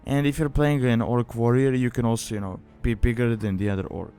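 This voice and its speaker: A man's voice: mid-range voice, fairly even intonation, brisk, warm tone, clean tone, soft background music. A man giving a casual, explanatory tutorial voice-over as a video host, rambling and leaning often on filler phrases. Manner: rambling, peppered with "you know" and "like". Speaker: guide host, gaming video maker.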